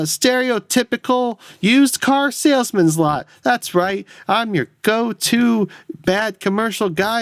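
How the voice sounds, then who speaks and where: A man putting on a loud, cheesy used car salesman in a parody radio commercial. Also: clear, resonant tone, no room sound, clean studio sound. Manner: brash hard-sell pitch.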